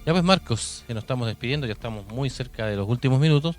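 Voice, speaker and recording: A Chilean man co-hosting a conversational paranormal radio show, speaking in a reflective, halting way with repeated phrases. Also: mid-range voice, lively intonation. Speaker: radio co-host. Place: radio studio. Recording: music bed under studio talk. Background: music.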